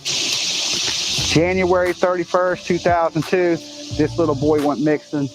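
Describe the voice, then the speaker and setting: High-pitched man narrating an outdoor search. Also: steady tone, slow pace, over music, poor audio. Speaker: amateur search team leader. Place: outdoors at the edge of woods.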